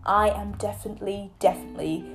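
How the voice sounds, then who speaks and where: A woman hosting her own podcast in a chatty, playful way, her voice a little croaky from a cold. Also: medium pitch, steady tone, fast talker, faint music behind. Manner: chatty and playful, teasing listeners. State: hoarse from a cold. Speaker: podcast host. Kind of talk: solo podcast talk.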